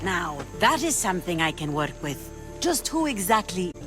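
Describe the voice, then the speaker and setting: An actress playing a shrewd, ruthless pirate queen in a video game, speaking with cool, mocking menace over loud music. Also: fairly low pitch, big pitch swings, moderate pace. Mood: sly. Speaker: pirate leader. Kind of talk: video game cutscene acting. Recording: game audio with loud score behind.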